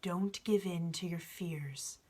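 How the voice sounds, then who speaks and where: A woman voicing a calm, wise male alchemist in a storybook read-aloud, hushed, measured and gently instructive. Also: lowish voice. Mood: gentle, reassuring.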